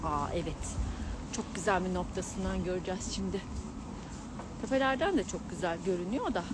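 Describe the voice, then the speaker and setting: A woman's voice: on the low side, expressive, fairly quick. A middle-aged woman chatting casually and exclaiming to her followers in a giggly travel vlog style, filming herself outdoors on a handheld gimbal. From Turkey. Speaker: vlogger and astrologer. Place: outdoors at a mountain viewpoint. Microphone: handheld camera on a gimbal. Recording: loud outdoor urban noise with vehicles.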